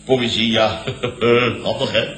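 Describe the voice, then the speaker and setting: An actor playing a blustering, self-important middle-aged boss who tells indignant tall tales emphatically in a Dutch radio comedy. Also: male voice, on the low side, steady tone, takes it slowly, a bit bright. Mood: smug. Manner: emphatic, ends phrases on questioning tags. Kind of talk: 1960s-70s Dutch radio comedy acting. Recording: roomy old radio comedy recording.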